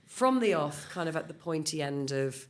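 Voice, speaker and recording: A woman's voice: low voice, expressive, normal speed. A middle-aged woman with an English accent, a psychologist talking through her career in a podcast interview, thoughtful and full of fillers. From Scotland. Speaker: clinical psychologist guest.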